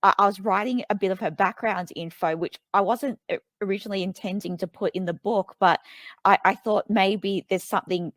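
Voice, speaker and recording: A woman's voice: medium pitch, a little fast, no echo. A woman author being interviewed, speaking thoughtfully and hesitantly with frequent ums and restarts. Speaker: author guest. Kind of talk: conversational interview.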